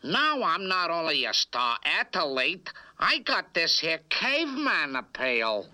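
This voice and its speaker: An actor voicing a dim, vain caveman athlete giving a slangy, halting testimonial in a cartoon advert parody. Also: on the high side, wildly swooping pitch, noisy background, exaggerated voice. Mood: smug.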